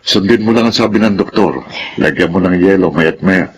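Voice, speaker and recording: A man plays a middle-aged Filipino-accented father in a radio drama, firm yet caring. His voice is deep and low, over faint background noise. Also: moderate pace, natural voice.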